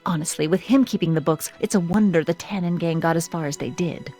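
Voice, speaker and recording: A woman's voice: fairly low pitch, a little fast, a little mellow, lightly acted. An actress playing a prim, bossy and zealous young woman in a video game, with precise, haughty and emphatic delivery. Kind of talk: animated video game voice acting. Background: music.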